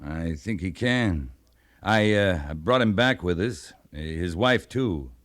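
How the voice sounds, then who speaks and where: Low-voiced laconic cavalry captain. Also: male voice, lively intonation, a bit bright, exaggerated voice. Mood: dry, understated. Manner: measured, understated authority. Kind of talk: old-time radio western acting.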